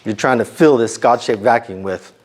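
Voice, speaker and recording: A middle-aged man with a slightly hoarse voice, giving an informal sermon to students in a conversational way, with many ums and restarts. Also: mid-range voice, normal speed.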